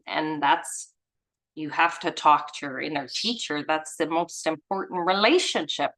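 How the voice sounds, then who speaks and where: A woman with a slightly low voice speaks at a very unhurried pace. She leads a study group, moving between reading aloud and casual commentary of her own. Her way of talking is informal, effusive and reflective. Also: a bit bright, rough recording. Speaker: reader and study group leader.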